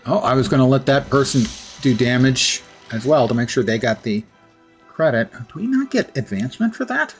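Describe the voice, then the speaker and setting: A man's voice: mid-range voice, expressive, moderate pace, soft background music. Rambling man streaming a game. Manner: rambling, self-mocking. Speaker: livestreamer. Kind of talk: casual gameplay chatter.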